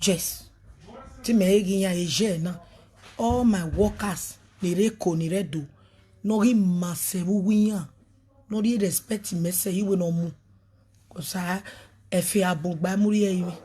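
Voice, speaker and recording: Husky-voiced Nigerian woman, emphatic. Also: steady tone, a little slow, pauses often. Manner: emphatic, switches between pidgin and a local language; swings from loud outbursts to a lowered voice. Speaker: prophetess. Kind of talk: live video monologue.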